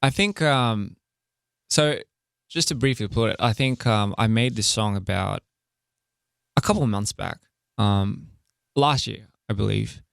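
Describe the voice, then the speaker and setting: A young man from Australia speaking in a laid-back, halting way, often pausing to search for words, as a musician guest in a casual radio interview. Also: fairly low pitch, gravelly, fairly bright tone, clear, resonant tone, no echo, clean studio sound. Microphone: studio microphone.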